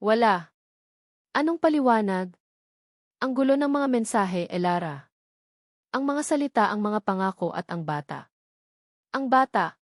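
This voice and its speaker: A woman's voice: mid-range voice, animated, unhurried, pauses often, dry recording, studio quality, close to an everyday voice. Woman acting a heartbroken, pleading pregnant wife. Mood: distraught. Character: heartbroken pregnant wife, betrayed, anxious but resolute. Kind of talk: dramatic audio storybook dialogue.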